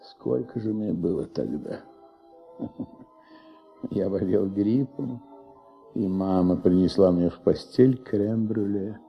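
An actor playing an ailing, insistent middle-aged police commissioner in a radio play, gravelly and halting, repeating himself as he thinks aloud. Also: low-pitched, faint music behind, exaggerated voice. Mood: wistful. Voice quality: gravelly, husky. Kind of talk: Soviet radio play acting. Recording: muffled old radio recording.

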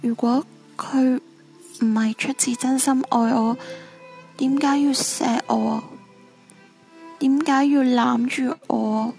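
A woman's voice: on the high side, steady tone, slow, vocal fry, long pauses, a little mellow, soft background music. A woman with a Hong Kong Cantonese accent playing a bitter, heartbroken, stubborn young female ghost in a supernatural radio drama. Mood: anguished, disbelieving.

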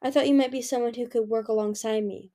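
A woman's voice: fairly high pitch, fairly even intonation, fast talker, warm tone, natural voice. Darkstalker, a woman doing a menacing dragon king. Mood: cold. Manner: growling, clipped, icy. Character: menacing ancient male dragon king, cold and cruel.

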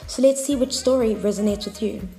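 A woman's voice: fairly high pitch, brisk, warm tone. A woman hosting a podcast, reading a scripted intro in an upbeat, inviting way. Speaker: podcast host. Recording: echoey with music behind.

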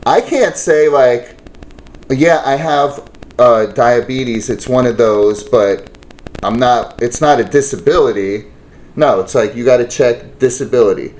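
A man's voice: medium pitch, measured pace, low-quality recording. New man, casual co-host, rambling delivery. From New York. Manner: rambling, animated storytelling with many fillers. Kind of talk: casual group chat.

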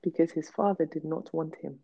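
A woman's voice: low voice, steady tone, moderate pace, slightly rough. A woman, hosting a podcast and telling her own story bluntly and emphatically. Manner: emphatic, blunt, repetitive for stress. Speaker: podcast host. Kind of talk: candid personal storytelling. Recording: dull lo-fi podcast audio.

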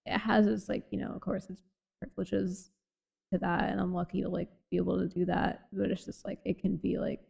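Young woman, light Boston accent, very gravelly, mellow tone, rambling podcast host. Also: lowish voice, level tone, a little fast. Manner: rambling, many "like" fillers, laughs often. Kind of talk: casual chat.

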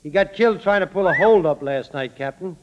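A man's voice: sits high, expressive, fairly quick, background noise. A man playing a dutiful, matter-of-fact police sergeant in an old radio drama, on a dull recording.

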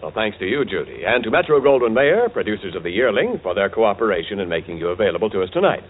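Animated 1940s radio pitchman, tinny broadcast. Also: fast, slight background noise. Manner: polished, emphatic sales delivery. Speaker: radio announcer and sponsor pitchman. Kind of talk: 1940s radio advertising announcing.

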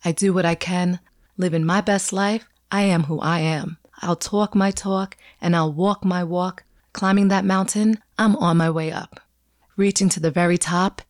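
A woman recites poetry as a guest reader. Her voice sits a little low for a woman, and the sound is close and dry. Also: a little mellow, no echo.

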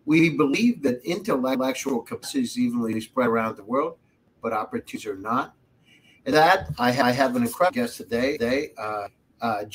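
Guy hosting a video call interview, keeps repeating words, mid pitch, faint hiss behind. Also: rough recording. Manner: stumbling, repeats words often. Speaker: podcast host.

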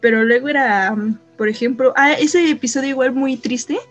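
A woman's voice: high-pitched, normal speed, a bit bright, faint music behind. A woman chatting casually as a podcast co-host, laughing often and stopping mid-sentence to search for names. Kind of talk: casual chat.

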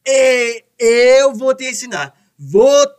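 Slow, heavily stuttering blusterer. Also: male voice, very high-pitched, expressive, heavily acted. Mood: indignant, blustering. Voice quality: heavy stutter. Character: stuttering, indignant henpecked son, a blustering brother-in-law. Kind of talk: radio soap opera comedy acting.

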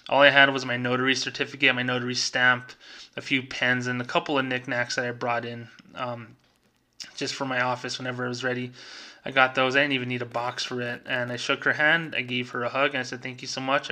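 A man's voice: medium pitch, flat delivery, fast talker, bright, forceful tone. A middle-aged man speaking as a vlogger, telling a personal story in a casual, conversational way and acting out remembered dialogue.

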